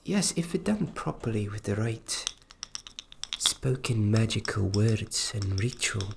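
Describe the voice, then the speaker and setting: Woman doing a gentle fairy caretaker for asmr, really low gravelly hushed voice, takes her time, half whispering. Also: animated, noisy background, exaggerated voice. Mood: musing, hushed. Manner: hushed, unhurried, partly whispered. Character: gentle female fairy caretaker, curious and reassuring. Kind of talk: cinematic fantasy ASMR roleplay.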